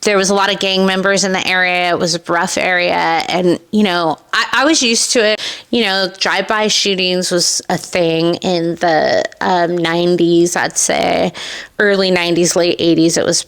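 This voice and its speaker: A woman's voice: lowish voice, normal speed. A middle-aged woman with a Californian accent tells personal stories candidly as an interview guest, often acting out remembered remarks. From Southern California, United States. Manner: candid, animated retelling with acted-out quotes. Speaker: podcast guest, esthetician and business owner. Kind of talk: personal storytelling in a conversational interview.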